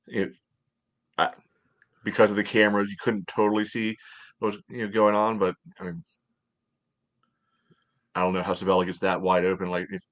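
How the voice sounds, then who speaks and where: A man in his thirties giving casual sports analysis in a measured, conversational way as a podcast co-host on a video call from home. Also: low voice, monotone, fairly bright tone. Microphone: headset on video call.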